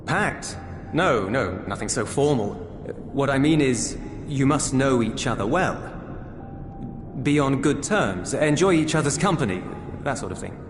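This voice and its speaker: A man's voice: medium pitch, animated, unhurried, close to an everyday voice. An actor playing a reserved, kindly soldierly man in a video game cutscene, speaking in a hesitant, earnest, conversational way. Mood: polite, awkward. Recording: roomy echo with music underneath.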